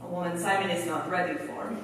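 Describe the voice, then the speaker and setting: A woman's voice: on the low side, steady tone, fast talker, fairly bright tone, distant mic, a little hiss or hum, close to an everyday voice. A woman playing an earnest, tough resistance fighter with formal, clipped grammar in a staged radio play, recorded in an echoey hall. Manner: formal phrasing with dropped articles.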